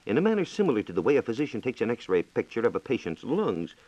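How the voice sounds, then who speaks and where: A man's voice: medium pitch, sing-song, warm tone, no echo. An American man explaining science formally as a reporter on a scripted 1940s radio program, in a dated lo-fi recording. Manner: formal, explanatory, measured diction.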